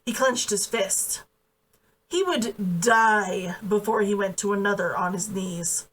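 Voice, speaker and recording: A woman's voice: mid-range voice, slow pace, fairly bright tone. A woman reading fiction aloud in a dramatic, emphatic storytelling style. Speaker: author reading own book aloud. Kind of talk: expressive storybook narration. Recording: voice distant from the mic.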